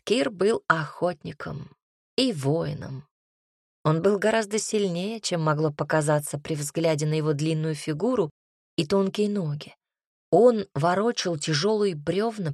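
A woman's voice: fairly low pitch, darkish tone, no echo, studio quality. A woman narrating a first-person fantasy audiobook with a wry, ironic storytelling manner.

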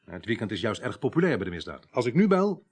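Police inspector, husky, fast-talking, wry, practical, Dutch accent, radio drama. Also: male voice, fairly low pitch, very animated. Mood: wry, brisk. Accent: Dutch from the Netherlands. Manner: brisk, matter-of-fact. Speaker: actor in a radio play. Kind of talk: 1980s radio drama acting.

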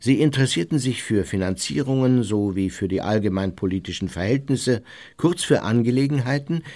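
An actor playing an elderly, unrepentant Nazi war criminal who justifies himself in formal, measured radio drama monologue. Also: male voice, fairly low pitch, dark tone. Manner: formal, measured, self-righteous.

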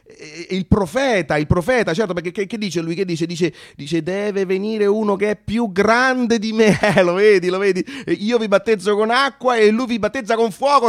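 A man performing a theatrical radio monologue, conversational and halting, repeating phrases and swinging from hushed to excited. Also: high voice, creaky. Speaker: solo narrator-actor.

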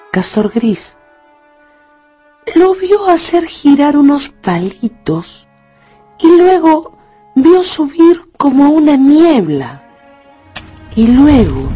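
A woman narrating a dramatized radio novel with expressive storytelling. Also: high voice.

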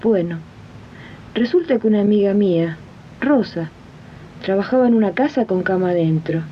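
A woman's voice: expressive, normal speed, noisy background, close to an everyday voice. A woman playing a spirited, supportive domestic worker in a 1980s community radio drama, chatty and emphatic, with an Argentine accent. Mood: chatty, confiding. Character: spirited working-class domestic worker, supportive union friend. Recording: muffled, rumbly 1980s archive radio recording.

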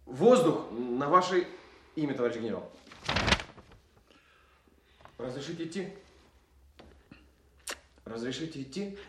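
A man's voice: mid-range voice, expressive, measured pace, long pauses, close to an everyday voice. A man acting a rule-bound army cipher clerk in a Russian radio play, reporting in a clipped military way in an echoey room. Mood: formal, urgent. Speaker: actor in an audio drama. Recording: echoey room, some noise.

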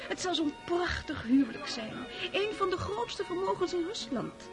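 An actress in a radio play as a gushing, mannered aristocratic hostess who gossips and makes matches. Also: female voice, very high-pitched, sing-song, moderate pace, music in the background, heavily acted. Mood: excited gossip. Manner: effusive, mannered, sprinkles French endearments.

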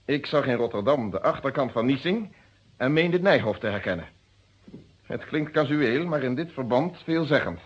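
A man's voice: mid-range voice, lively intonation, normal speed, slightly rough. A man playing a methodical, unyielding police inspector in a Dutch detective radio play, speaking in formal, precise phrases. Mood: insistent. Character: methodical, unyielding police inspector questioning his own friend. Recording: dull lo-fi old radio recording.

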